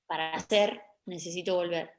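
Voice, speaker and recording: A woman's voice: on the low side, fairly even intonation. Argentine woman telling personal memories. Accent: Argentine Spanish. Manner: personal, anecdotal, halting. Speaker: interview guest, photographer. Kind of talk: conversational interview.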